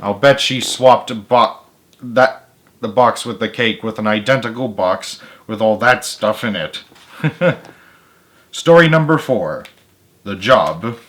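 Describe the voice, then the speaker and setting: A man's voice: lowish voice, takes it slowly. A man reading a story aloud expressively, dropping in muttered asides of his own. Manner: expressive, with emphatic character lines and muttered asides.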